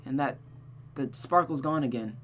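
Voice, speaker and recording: Streamer reading out a visual novel, dramatic storyteller voice, mid pitch, some hum behind it. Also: moderate pace. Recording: noticeable background hum and noise.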